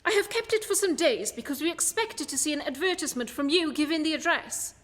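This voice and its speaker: A shrewd British gentleman detective voiced by a woman, high and very lively in pitch. He speaks with polite precision and confidence in a radio play, with a long reverb around the voice. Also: a little fast. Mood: courteous. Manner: polite, precise, self-assured.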